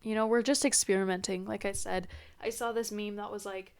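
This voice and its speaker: A young woman with a congested, stuffy nose, chatting in a rambling, scattered way about herself. Her voice is smooth and sits at a middle pitch. She is recorded dry and close on a laptop mic. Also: fairly even intonation, brisk, no room sound. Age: young adult. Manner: chatty, scattered, self-deprecating. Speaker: podcast host. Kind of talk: rambling casual vlog chat. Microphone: laptop built-in mic.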